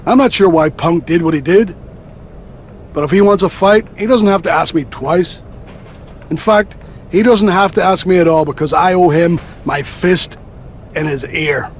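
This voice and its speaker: A man's voice: fairly high pitch, moderate pace, pauses often, a little hiss or hum, lightly acted. A man playing a pugnacious, blunt brawler of a wrestler, giving a scripted video game interview. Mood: combative.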